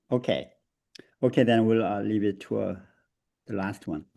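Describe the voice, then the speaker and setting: A man from South Korea with a Korean accent, presenting an online seminar over a video call, laughing often and pausing on fillers. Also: on the low side, creaky, warm tone.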